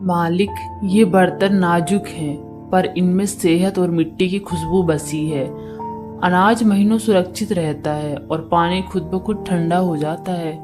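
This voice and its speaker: A woman's voice: fairly low pitch, fairly even intonation, a little slow, music in the background, lightly acted. A woman voicing a poor, proud, pleading village potter and father in a cartoon story. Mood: earnest persuasion.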